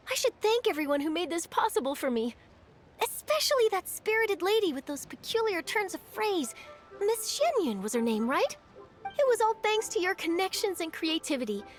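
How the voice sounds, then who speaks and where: A woman voicing an excitable, earnest young female reporter in a video game, speaking in an effusive, expressive way. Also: very high voice. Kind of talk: video game character dialogue.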